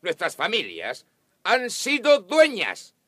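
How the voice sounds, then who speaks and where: An actor playing an indignant, wealthy landowner who protests in a flustered, blustering way in a radio drama. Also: male voice, high voice, wildly swooping pitch, takes it slowly, bright, forceful tone, no echo, heavily acted. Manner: flustered, protesting, repeating himself.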